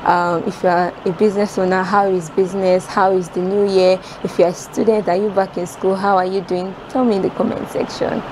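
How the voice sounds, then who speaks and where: A woman's voice: medium pitch, flat delivery, a little fast, hardly any pauses. A young woman with a Nigerian accent chatting casually and directly to her viewers in a vlog, recorded at arm's length. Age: young adult. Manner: informal, addresses viewers directly. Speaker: vlogger, student. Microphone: camera at arm's length. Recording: background noise.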